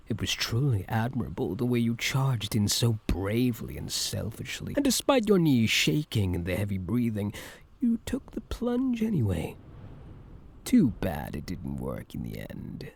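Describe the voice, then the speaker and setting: A man playing an obsessive, infatuated supervillain in an intimate ASMR roleplay, soft-spoken and teasing, with a breathy voice that dips into whispers. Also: mid-range voice, wildly swooping pitch, full character voice. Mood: fascinated, admiring. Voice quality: breathy, gravelly, dropping at times into whispers. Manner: teasing, unhurried, falsely gentle.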